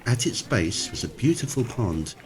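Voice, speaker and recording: Man acting an earnest fantasy adventurer, animated storytelling. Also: medium pitch, moderate pace, natural voice. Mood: recounting. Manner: emphatic, storytelling. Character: earnest adventurer man insisting on his fairy sighting. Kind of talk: fantasy audio drama acting.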